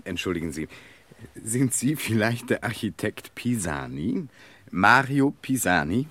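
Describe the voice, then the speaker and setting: A man's voice: lowish voice, big pitch swings, measured pace, fairly bright tone, exaggerated voice. An actor playing an intense, courteous young man who questions urgently, in a German radio drama. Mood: probing, urgent. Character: intense young man confronting his possible future selves.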